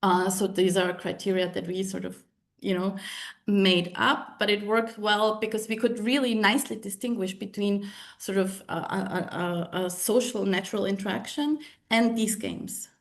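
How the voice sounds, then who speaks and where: Woman, researcher presenting findings, halting academic delivery. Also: mid-range voice, fairly even intonation. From Czech Republic. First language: Czech. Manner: hesitant, many fillers and restarts.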